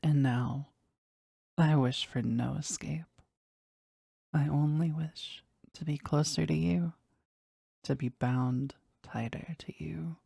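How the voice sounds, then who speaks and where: An actor playing a powerful, devoted demon bound to a sorcerer in an intimate romantic audio roleplay, speaking formally and tenderly in a breathy voice that often drops toward a whisper. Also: medium pitch, steady tone, mellow, dry recording, studio quality, full character voice. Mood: earnest, yearning. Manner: formal, slow, tender, halting with emotion.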